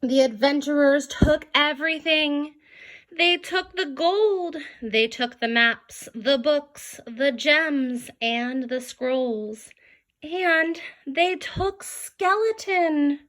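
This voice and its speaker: A woman reading a children's picture book aloud in an expressive narrating style. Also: sits high, very slow. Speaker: storybook reader.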